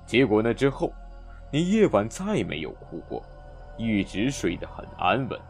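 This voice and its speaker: A man's voice: fairly low pitch, sing-song, normal speed, vocal fry, faint music behind. A man playing a chiding older relative. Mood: reminiscing. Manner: chiding, reminiscing. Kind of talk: fan audio drama acting.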